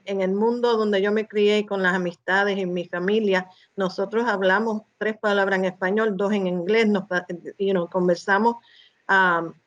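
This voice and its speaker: A woman from New York with a Puerto Rican accent, a podcast guest, speaking reflectively and mixing in English words. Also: mid-range voice. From New York, United States. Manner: unhurried, reflective, mixes in English. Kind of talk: personal conversation.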